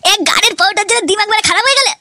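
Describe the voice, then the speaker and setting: Super high pitched-up angry young cartoon dude, rants n swears nonstop, bright and sharp. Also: male voice, racing, no echo, studio quality, heavily acted. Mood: furious ranting. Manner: ranting, swearing constantly. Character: hot-headed, foul-mouthed young cartoon man fed up with everything. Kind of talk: comedy cartoon dialogue.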